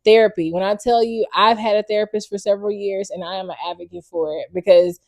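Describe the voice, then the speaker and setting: An enthusiastic woman hosts a faith-centered podcast and cheers her guest on. Her voice sits in a middle pitch and is recorded dry and close, with no room sound. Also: steady tone, quick pace, creaky, no echo. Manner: enthusiastic, encouraging. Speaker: podcast host. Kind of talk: casual faith podcast chat.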